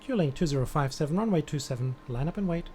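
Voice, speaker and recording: A man playing air traffic controller on a live stream, giving measured procedural clearances with casual asides. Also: a little high, expressive, darkish tone.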